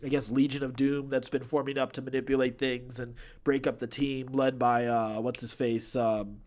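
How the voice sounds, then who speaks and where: A man, an animated and opinionated podcast co-host chatting casually as he recaps TV shows. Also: mid-range voice, normal speed.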